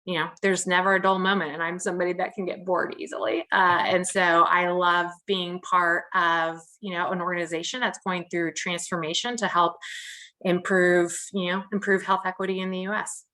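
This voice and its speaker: A woman with a bright, forceful voice sitting a little low in pitch. She presents an informal keynote with clear enthusiasm for her work. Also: flat delivery, moderate pace. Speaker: keynote speaker, company employee.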